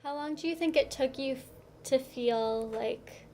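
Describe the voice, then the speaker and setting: Higher-voiced woman interviewer, faint hiss. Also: a little slow. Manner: gently prompting, brief affirmations. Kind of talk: interview questions.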